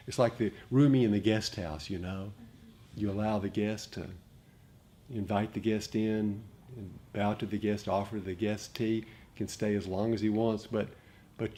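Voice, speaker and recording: A man giving an informal dharma talk to a group as a meditation teacher, speaking in a halting, reflective way and often rephrasing. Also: fairly low pitch, warm tone. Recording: noticeable background noise.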